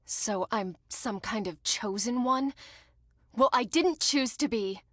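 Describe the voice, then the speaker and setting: An actress playing a fierce young orphan heroine in a video game, emotional and defiant in a theatrical way. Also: female voice, on the high side, slow, fairly bright tone, no room sound, clean studio sound. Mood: defiant, bitter. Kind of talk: dramatic video game voice acting.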